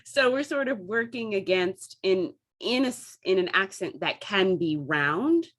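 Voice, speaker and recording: A woman's voice: mid-range voice, animated, measured pace, a bit bright. A woman talking casually as a theatre panelist in a group conversation.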